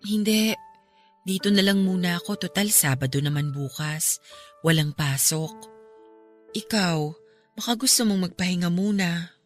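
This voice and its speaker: A woman's voice: lowish voice, a little slow, pauses often, faint music behind, close to an everyday voice. A woman playing an outspoken, caring young friend in an earnest radio drama style. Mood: gentle concern. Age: young adult. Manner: earnest, emphatic, polite yet confrontational.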